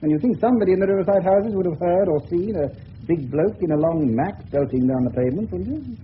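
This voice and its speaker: Sardonic English police inspector, probing; radio drama, phone-like narrow sound, faint hiss. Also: male voice, high-pitched, expressive, fast. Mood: dry, sardonic. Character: sceptical, wry male police inspector. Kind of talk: 1970s radio drama acting. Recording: muffled narrow-band old radio recording.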